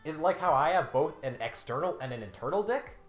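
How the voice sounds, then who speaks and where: A man reads a script as the host of a comedic critique. His pitch moves up and down freely, and he slips from mock-serious narration into sardonic, exasperated commentary. The room adds some echo, and music sits faintly underneath. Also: animated, fast talker. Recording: roomy sound with faint music behind.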